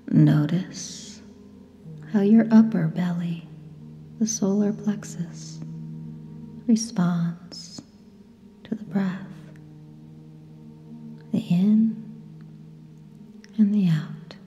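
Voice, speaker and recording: A woman with a soft, breathy, whispery voice leads a guided meditation in short, gentle phrases with long pauses. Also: medium pitch. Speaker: yoga nidra guide. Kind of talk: guided meditation, slow and soothing. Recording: long reverb, soft music behind. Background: sound bath music.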